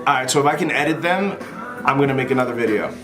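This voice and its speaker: Guy vlogging advice off the cuff, kinda rambly and apologetic, average pitch, music behind him. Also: fast talker. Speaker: vlogger giving airline interview advice. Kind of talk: casual unscripted advice to camera.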